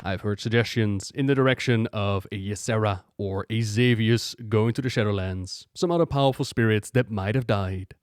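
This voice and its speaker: A man's voice: lowish voice, moderate pace, hardly any pauses, smooth, dry recording, clean studio sound. A man hosting gaming lore commentary in an enthusiastic, emphatic way. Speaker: video host.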